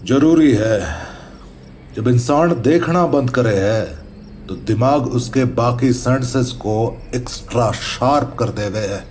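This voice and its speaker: Man acting methodical ghost investigator, Haryanvi-Rajasthani accent, serious, slow deliberate delivery, mid-pitched, audio horror drama. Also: a little mellow, a little hiss or hum, lightly acted. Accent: Haryanvi-Rajasthani rural Hindi. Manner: deliberate, instructive. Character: methodical, serious male paranormal investigator, neighbourhood elder brother.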